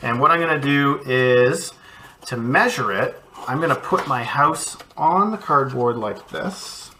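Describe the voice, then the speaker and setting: A man's voice: medium pitch, animated, a little slow, pushed and bright, a little hiss or hum. A man, an art educator, casually walking kids through a cardboard craft project from his home studio, encouraging and thinking aloud as he works.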